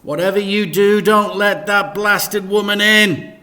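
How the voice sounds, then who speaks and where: A man's voice: sits high, steady tone, a little slow, voice pushed up. A man voicing a blustering, emphatic dad in a children's storybook. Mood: exasperated. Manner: loud, emphatic, exclamatory. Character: blustering dad, falsely cheery with guests.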